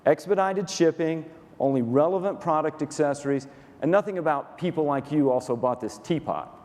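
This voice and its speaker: A man with a Texas accent, a company COO walking through a software demo onstage in a dry, matter-of-fact, methodical way. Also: a little high, moderate pace. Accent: Texan. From Texas, USA. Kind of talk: staged product demo presentation. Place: conference stage in a large hall. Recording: reverberant conference hall.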